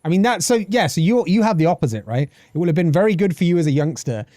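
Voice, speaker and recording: A man's voice: on the high side, fast, no echo, clean studio sound. A British man in his thirties chatting casually on a game live stream, swearing freely and reading chat aloud. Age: 30s. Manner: animated, swearing, reads chat aloud and laughs often.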